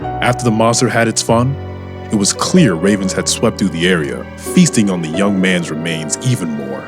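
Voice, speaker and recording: Low-voiced male storyteller over music. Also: animated, moderate pace. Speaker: narrator. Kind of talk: scripted dramatic narration.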